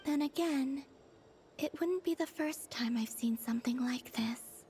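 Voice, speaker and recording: Hushed, high, slow ethereal maiden. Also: female voice, a little hiss or hum. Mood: wondering. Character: soft, wistful, ethereal young maiden, detached and innocent. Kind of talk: video game voice acting.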